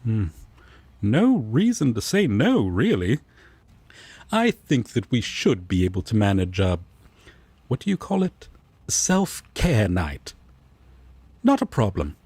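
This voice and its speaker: A man playing a polite, earnest, excitable angel roommate in an audio drama, with a husky voice and formal, courteous phrasing. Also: on the low side, slow, pauses often, dry recording, exaggerated voice. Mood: agreeable, composed. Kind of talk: roleplay audio drama, comfort scene.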